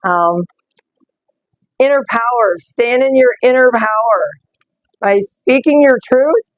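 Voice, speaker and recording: Slow, slightly rough-voiced woman. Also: a little high, no echo, muffled recording. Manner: slow, gentle, greets viewers by name. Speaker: oracle card reader. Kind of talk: casual live-stream card reading.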